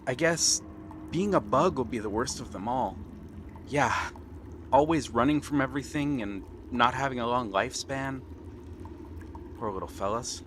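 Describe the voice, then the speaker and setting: A man's voice: medium pitch, moderate pace, long pauses, fairly bright tone, slight background noise, close to an everyday voice. A man playing a nervous, bookish young pirate prince in an ASMR roleplay, hesitant and earnest. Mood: apologetic, anxious.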